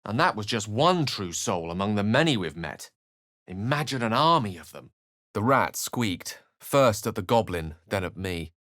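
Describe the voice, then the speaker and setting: A man's voice: lowish voice, big pitch swings, moderate pace, a bit bright. A man voicing a learned, wry and romantic wizard in a video game, speaking in an articulate, theatrical way. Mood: hushed, storytelling. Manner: theatrical, articulate, literary phrasing. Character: learned human male wizard, eloquent, wry, romantic and dramatic. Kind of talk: video game character voice acting.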